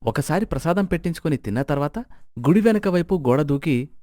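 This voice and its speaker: A man tells a scripted moral story as narrator. His voice sits a little high, and the sound is very dry, with no room echo. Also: animated, brisk, a little mellow.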